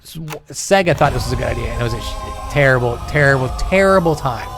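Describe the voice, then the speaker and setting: A man co-hosting a podcast in casual reaction commentary, animated, incredulous and freely swearing. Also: medium pitch, fast talker. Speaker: podcast co-host. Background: movie trailer audio and music.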